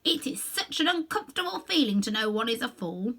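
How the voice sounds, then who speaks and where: A woman's voice: a little high, sing-song, fairly quick, a bit bright, slightly rough, full character voice. A woman voicing a naive male scarecrow, earnestly and simply telling his story as in a children's storybook. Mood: earnest, hopeful.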